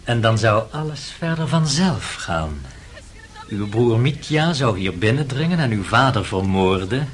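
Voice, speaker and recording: Sly, servile manservant, faint noise. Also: male voice, mid-range voice, big pitch swings, a little slow. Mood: sly, insinuating. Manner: obsequious, insinuating. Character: servile, sly, insinuating manservant with sinister cunning. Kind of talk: Dutch radio play acting.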